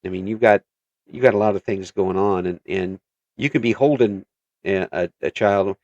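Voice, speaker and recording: A man hosting a casual interview, chatty and quick to laugh, often trailing off and restarting his sentences. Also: low-pitched, a little mellow, no echo, highs cut off. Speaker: interview host.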